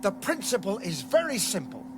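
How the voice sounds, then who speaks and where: An actor playing a gloating guard who taunts a prisoner in a comic sci-fi radio drama. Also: male voice, high-pitched, wildly swooping pitch, moderate pace. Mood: taunting.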